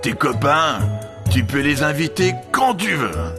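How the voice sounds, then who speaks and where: Man voicing a bossy, animated storybook ogre parent. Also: on the high side, measured pace, voice pushed up. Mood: coaxing. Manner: bossy, exclamatory. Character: gruff, impatient, child-eating ogre parents. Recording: music behind the voice.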